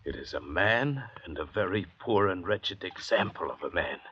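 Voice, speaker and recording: An actor playing an educated, bitter and pompous doctor among escaped convicts in an old-time radio drama, lecturing in a measured way. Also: male voice, low-pitched, animated, a bit bright, slightly rough, exaggerated voice. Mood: scornful, grim. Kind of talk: 1940s-50s radio drama acting. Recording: dull, hazy old radio recording.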